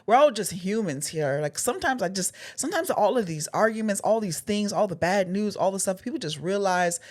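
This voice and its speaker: A woman's voice: medium pitch, lively intonation, a little fast. A woman co-hosting a podcast in casual chat, talking earnestly and circling back over her words. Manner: earnest, rambling with many restarts and fillers. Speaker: podcast co-host.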